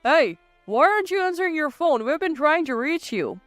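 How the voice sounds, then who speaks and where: A woman's voice: sits high, very animated, moderate pace, fairly bright tone, no room sound, soft background music. A woman voicing an entitled, greedy brother in a narrated story, blustering and domineering. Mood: demanding. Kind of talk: acted dialogue in narrated story.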